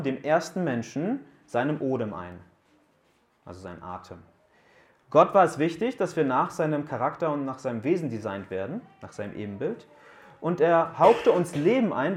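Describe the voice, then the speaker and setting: Man, 30s, youth camp preacher, casual and joking, talks to a live room. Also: mid-range voice. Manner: casual, joking, asks the audience questions. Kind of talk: sermon talk to young people.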